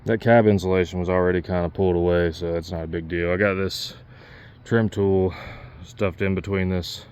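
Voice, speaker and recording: A man's voice: sits low, a little mellow, clear, resonant tone, lo-fi sound. A man giving a casual, unscripted DIY walkthrough, talking plainly and pointing things out as he works. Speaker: DIY vlogger demonstrating a truck CB radio install.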